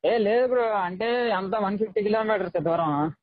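A man's voice: sits high, normal speed, no room sound. A young man chatting informally and in slang on a recorded phone call. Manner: informal, slangy, says "bro" often. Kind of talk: casual phone conversation.

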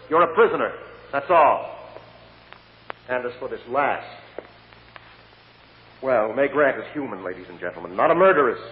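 An actor playing a persistent, impassioned American defense lawyer, with probing, theatrical rhetoric in a vintage radio drama. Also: male voice, high voice. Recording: tinny old radio recording, long reverb, hiss.

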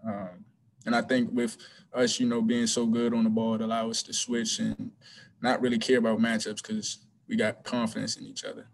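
A man's voice: on the low side, moderate pace, gravelly, low-quality recording. Young man answering postgame questions, um-heavy. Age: young adult. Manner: relaxed, hesitant, many ums and restarts. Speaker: college basketball player. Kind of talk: postgame press conference answers. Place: small room before a team backdrop. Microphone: video call webcam.

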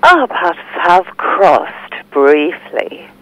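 Female actor as sly flirtatious caller; coy, unhurried, lowish voice, swooping intonation. Audio drama. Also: sing-song, exaggerated voice, poor audio. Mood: coy, teasing. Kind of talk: podcast-style audio drama dialogue.

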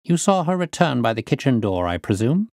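A man voicing a composed gentleman detective in an audiobook, courteous, precise and probing. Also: mid-range voice, big pitch swings, brisk, no echo, clean studio sound, pitched above the performer's own voice. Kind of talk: audiobook character reading.

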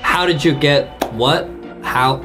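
A young man hosting a reaction video, giving exaggerated, excited commentary addressed to viewers. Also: a little high, slow, over music.

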